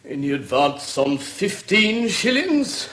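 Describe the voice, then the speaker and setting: A theatrical British auctioneer in a radio play, pompous and easily affronted. His fairly high voice swoops widely through salesman's patter in an echoey room. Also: sing-song, slow, a bit bright, slight background noise, full character voice. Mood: brisk. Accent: British English. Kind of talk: radio drama acting.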